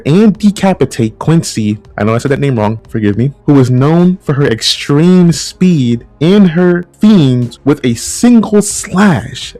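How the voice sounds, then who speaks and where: A man with a mid-pitched voice hosts a casual fan video essay over background music. He talks conversationally and loosely, rambling a little and doubling back on phrases to stress them. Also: a little mellow. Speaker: host of a video commentary channel.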